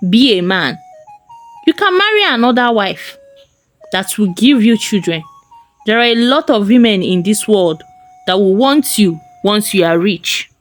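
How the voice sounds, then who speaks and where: A woman storyteller voicing a callous, persuasive male friend with a coaxing, dismissive manner in a folktale. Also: medium pitch. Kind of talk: storytelling character voice.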